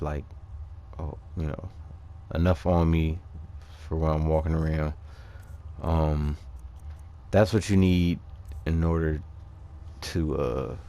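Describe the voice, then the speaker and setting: A man giving casual, off-the-cuff game walkthrough commentary, thinking aloud in a halting way. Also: low voice, mellow. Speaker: gaming video host.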